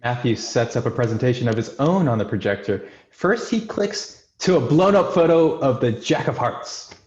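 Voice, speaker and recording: A man's voice: medium pitch, expressive, moderate pace, darkish tone. A man reading out stage directions as scripted narration at a screenplay table read. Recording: echoey, muffled video call audio.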